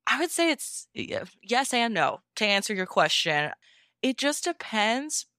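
Smooth-voiced woman giving casual interview answers. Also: fairly high pitch, sing-song, measured pace, pushed and bright, dry recording, clean studio sound. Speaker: interview guest, amateur audiobook-style fan fiction reader.

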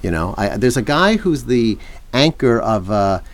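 Podcast co-host, middle-aged man, mid pitch with lots of movement, dry teasing delivery. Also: very animated. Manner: wry, teasing, measured. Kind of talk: casual chat.

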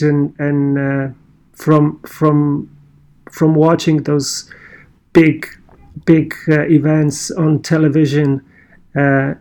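A man's voice: fairly high pitch, creaky, a little mellow. A man from Poland with a Polish accent, telling his own story in casual conversation and often restarting his sentences. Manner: halting, many restarts and fillers.

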